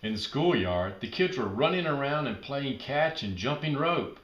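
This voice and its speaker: A man narrating a children's storybook aloud in an expressive, emphatic storytelling manner. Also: mid-range voice, moderate pace, reverberant, highs cut off, a little hiss or hum.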